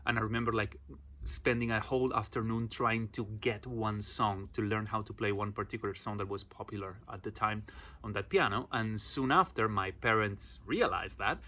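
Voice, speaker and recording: A man in his forties from Argentina, with an Argentine accent and Spanish as his first language, telling his own story in a relaxed, chatty vlog. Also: on the low side, moderate pace. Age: 40s. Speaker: vocal percussion teacher.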